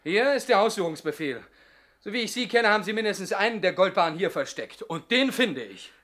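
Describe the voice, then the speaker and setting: A high-voiced, chummy police inspector. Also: male voice, sing-song, fairly quick, a bit bright. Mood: knowing, insistent. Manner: jovial, pressing, theatrical. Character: chummy, insistent police inspector, knowing and jovially stern. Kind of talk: 1960s German radio play acting.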